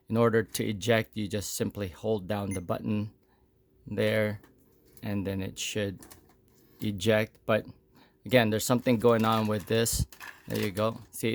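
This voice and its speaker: A man giving a casual, unscripted hands-on demo, explaining plainly in short, halting phrases with pauses between them. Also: fairly low pitch, vocal fry. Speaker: product demonstrator.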